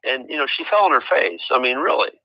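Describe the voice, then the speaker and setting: An American man talking on a phone call as a caller with a complaint, halting and exasperated, repeating himself. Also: mid-range voice, expressive, no echo. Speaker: caller, relative of a patient. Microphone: telephone. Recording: dull lo-fi telephone line.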